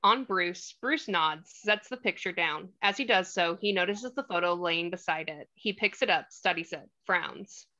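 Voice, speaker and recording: A woman's voice: fairly low pitch, normal speed, hardly any pauses, pushed and bright. A woman reading stage directions as the narrator of a screenplay table read. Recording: slightly dull lo-fi audio.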